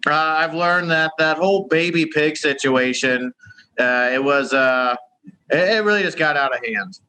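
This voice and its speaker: A man's voice: a little high, normal speed, pushed and bright, smooth, rough recording. A man doing a hammy put-on Italian accent that keeps slipping, improvising a comedy travel bit over a video call. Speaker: comedy correspondent.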